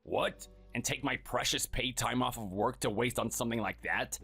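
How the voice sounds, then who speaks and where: A performer voicing a cold, contemptuous workaholic husband who talks curtly and dismissively. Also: male voice, mid-range voice, normal speed, gravelly, pushed and bright, breathy edge, soft background music, exaggerated voice. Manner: curt, scornful, dismissive.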